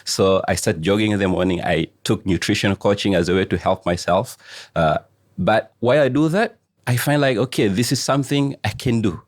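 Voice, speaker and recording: A middle-aged man from Tanzania, a coach answering interview questions slowly, pausing often as he searches for words. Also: fairly low pitch, no echo, studio quality. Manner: slow, searching phrasing with frequent "uh" pauses. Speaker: guest coach. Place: studio.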